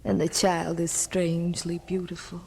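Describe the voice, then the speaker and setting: A woman acting a tender, reverent innkeeper's wife and worried aunt in an old-time radio drama, hushed and full of wonder. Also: fairly low pitch, flat delivery, normal speed, gravelly, slight background noise. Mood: awed. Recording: vintage radio broadcast, music behind.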